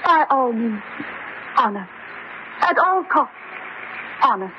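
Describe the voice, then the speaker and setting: An actress playing a vain, devoted wife in distress in a campy horror radio drama, pleading and overwrought. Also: female voice, a little high, very animated, slow pace. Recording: dull, faintly noisy old radio recording.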